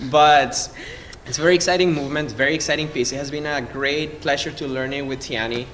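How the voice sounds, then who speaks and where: A man from Venezuela, a cellist, introducing music informally in an effusive, halting way as he searches for words. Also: a little high, fairly even intonation, a bit bright. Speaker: cellist in a string quartet. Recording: noisy, long hall reverb.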